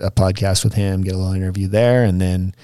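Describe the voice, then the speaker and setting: A man's voice: deep voice, steady tone, quick pace, mellow, clear, resonant tone, no echo, studio quality. A man co-hosting a podcast in casual chat, rambling along with fillers and restarts. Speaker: podcast co-host.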